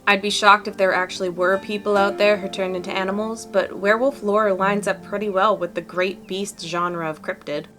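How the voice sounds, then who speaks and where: A woman's voice: mid-range voice, steady tone, normal speed, few pauses, natural voice. Woman as a chatty, earnest young cryptid hunter, background music. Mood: candid, earnest. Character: chatty, upbeat young female cryptid-hunting video maker. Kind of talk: fan-dubbed visual novel dialogue.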